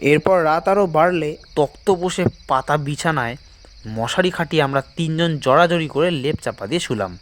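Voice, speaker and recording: A man narrating a horror audio story in a suspenseful, expressive style with drawn-out dramatic phrasing. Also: a little high. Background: background music.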